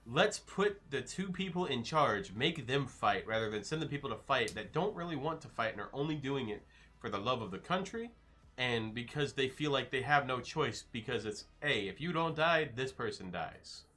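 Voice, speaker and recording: A man's voice: mid-range voice, animated, normal speed, sharp tone. A man streaming live, casually reading and answering his chat with loose, joking replies.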